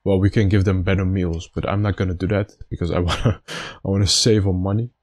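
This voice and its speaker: A man with a slightly raspy, stuffed-up voice giving casual gameplay commentary, thinking aloud in a halting way. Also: low-pitched, fairly even intonation. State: stuffy nose after being sick. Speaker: gaming video host.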